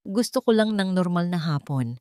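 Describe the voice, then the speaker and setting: A woman voicing a wounded, wistful young businessman in a storybook drama, speaking softly and confidingly. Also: lowish voice, lively intonation, moderate pace, smooth, no echo, studio quality, lightly acted. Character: wealthy young businessman, wounded, wistful, bitter about duty.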